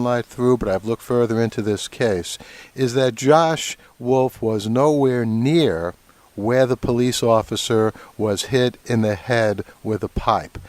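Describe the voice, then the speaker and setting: Man hosting a podcast, fairly low voice. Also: darkish tone, dry recording. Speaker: podcast host. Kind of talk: casual interview and scripted podcast segments.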